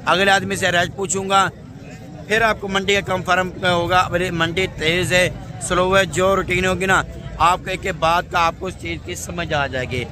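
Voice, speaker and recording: High-pitched emphatic male market vlogger. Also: fairly even intonation, normal speed. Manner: emphatic, repetitive, addressing viewers as brother. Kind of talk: vlog addressed to camera. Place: open-air livestock market. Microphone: phone at arm's length. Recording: busy outdoor crowd noise.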